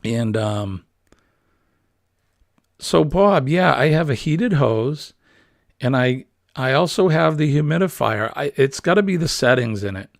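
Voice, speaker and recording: Man, livestream host; husky, smoky, a bit gravelly; easygoing and rambling with many fillers; dry, close computer-mic sound. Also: medium pitch, unhurried, pauses often, no room sound. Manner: relaxed, rambling, frequent ums and uhs. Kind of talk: casual livestream chat reading viewer comments. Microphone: built-in computer webcam mic.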